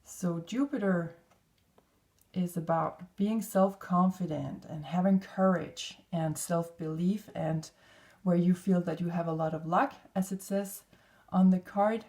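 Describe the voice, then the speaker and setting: Slow, low-voiced Danish woman, mellow. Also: steady tone. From northwestern Denmark. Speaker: tarot and oracle card reader. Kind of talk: unscripted spiritual card reading to viewers.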